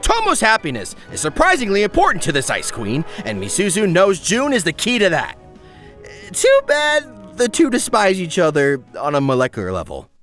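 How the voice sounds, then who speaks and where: A man's voice: high voice, huge pitch swings, normal speed, a bit bright, soft background music. A man narrating a scripted comedic anime review in a wry, exaggerated, joking way. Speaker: video essay narrator.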